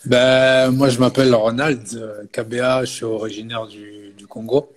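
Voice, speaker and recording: A man's voice: mid-range voice, a little slow. A man in his thirties from Belgium, a personal fitness coach, talking casually in an interview and often tagging on "you see" and restarting phrases. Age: 30s. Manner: relaxed, frequent filler "tu vois" and restarts.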